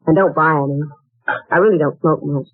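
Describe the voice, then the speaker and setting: A woman's voice: sits low, a little fast, no room sound. An actress playing a proper, hungry young woman looking for work, in a 1940s radio drama, on a tinny old broadcast recording. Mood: earnest. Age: young adult.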